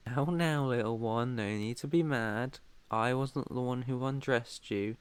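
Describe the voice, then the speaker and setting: A British man playing a protective, haunted yet tender vampire master in an intimate ASMR roleplay, speaking softly, earnestly and deliberately. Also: mid-range voice, clean tone, no room sound, close to an everyday voice. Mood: fond, teasing.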